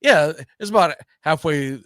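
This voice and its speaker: A man's voice: a little high, lively intonation, a little slow, a bit bright, dry recording, studio quality. A man hosting a sports talk show, telling baseball history with an emphatic, storytelling delivery.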